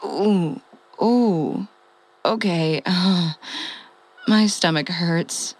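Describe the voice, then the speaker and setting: A woman's voice: on the low side, drawn-out words. A woman playing a wry survivor and former radio host in an audio drama, rambling, hesitant and self-deprecating as she talks. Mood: uneasy, confiding. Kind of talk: audio drama, confessional log.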